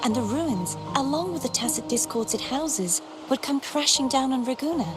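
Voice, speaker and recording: An actress playing a composed, wistful young maiden living in isolation, with formal, measured phrasing in scripted game dialogue. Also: female voice, high-pitched, lively intonation. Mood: grave. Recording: game music behind the voice.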